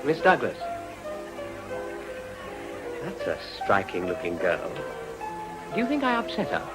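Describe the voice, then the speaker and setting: Dry, urbane gentleman, swooping delivery. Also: fairly low pitch, huge pitch swings, a little slow, over music, rough recording. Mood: musing, wry. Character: bored, witty upper-class English gentleman politician. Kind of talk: period radio drama acting.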